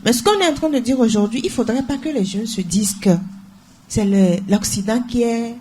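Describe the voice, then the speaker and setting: A woman's voice: fairly high pitch, a little fast, dark tone, a little hiss or hum. A young woman, speaking as a co-host in a radio talk show discussion and driving home her points by repeating phrases. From Togo. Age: young adult. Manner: emphatic, repeats phrases for weight.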